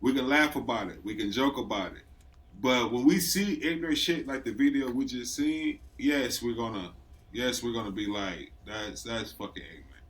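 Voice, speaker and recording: A man's voice: mid-range voice, normal speed, a bit bright, poor audio. Laughing, slangy male podcast co-host. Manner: laid-back, laughs often, slangy. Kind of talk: casual chat.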